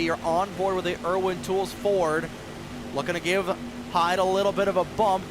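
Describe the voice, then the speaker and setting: Excitable man calling a sim race. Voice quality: slightly raspy. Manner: excitable, fast-moving play-by-play with sudden rises in pitch at close calls. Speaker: race commentator. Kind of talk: sim racing play-by-play commentary. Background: race car engine noise.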